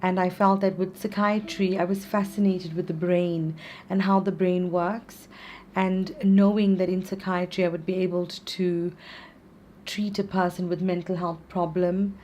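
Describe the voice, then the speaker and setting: A woman born in South Africa, a psychiatrist answering interview questions in earnest, measured explanations. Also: fairly low pitch, little pitch movement, darkish tone, slight background noise. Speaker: psychiatrist guest.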